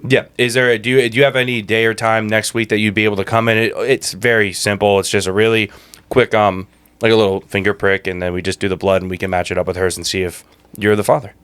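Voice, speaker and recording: Man posing as nervous, quick-talking clinic newbie. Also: fairly low pitch, flat delivery, fairly bright tone, smooth, dry recording, close to an everyday voice. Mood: nervous, polite. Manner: hesitant, rambling politeness. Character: nervous, polite young male clinic worker on first day.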